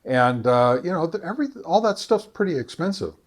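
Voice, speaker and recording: An American man presenting a hobby video in a casual, unscripted way, speaking haltingly with many filler pauses. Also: fairly high pitch, animated. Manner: halting, hesitant delivery with frequent long filler pauses. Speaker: ham radio video creator and presenter. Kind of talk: casual unscripted product talk.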